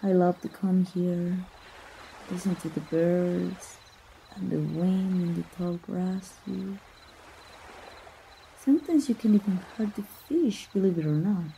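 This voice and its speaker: A woman with a Mexican accent playing a playful, tender young princess in a romantic ASMR roleplay, teasing gently with bashful hesitations. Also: lowish voice, slow, creaky. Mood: serene, dreamy. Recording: noisy outdoor nature ambience.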